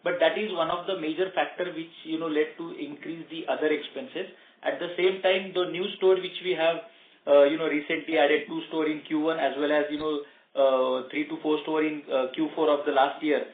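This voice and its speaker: A man's voice: fairly high pitch, fairly even intonation, moderate pace, slight background noise. A male company executive, answering analysts' questions on an earnings call, hesitant and full of fillers. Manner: hesitant, many fillers and restarts. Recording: echoey phone line.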